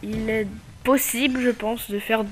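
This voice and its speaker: A man's voice: sits high, steady tone, noisy background. A man with a slight cold giving casual, hesitant commentary over his own gameplay as channel host. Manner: hesitant, many filler pauses.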